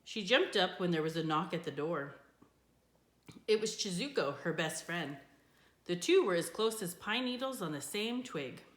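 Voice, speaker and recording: A woman reading a children's book aloud in an expressive storytelling style. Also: fairly low pitch, moderate pace. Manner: expressive, with character voices for dialogue. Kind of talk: scripted storybook narration, read aloud. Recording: echoey room.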